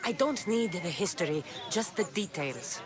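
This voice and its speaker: An actress playing a determined young Greek mercenary in a video game, direct, dry and sceptical. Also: female voice, on the low side, animated, moderate pace, crowd noise, lightly acted. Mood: impatient, dry. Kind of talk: video game dramatic dialogue.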